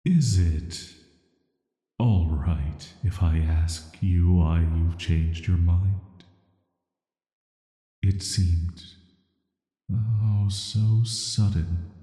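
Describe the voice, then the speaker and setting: A man playing an ancient banished villain, sealed away and softly menacing, speaking in a flat, hesitant, measured way for an atmospheric sleep-aid audio roleplay. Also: low voice. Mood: curious, gentle. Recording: roomy echo with long reverb.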